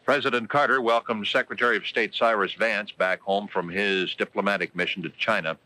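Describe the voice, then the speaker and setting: A man's voice: fairly low pitch, fairly even intonation, a little fast, sharp tone, rough recording. An American man reading scripted news and weather on local radio in a formal, emphatic newscaster's delivery. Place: radio studio.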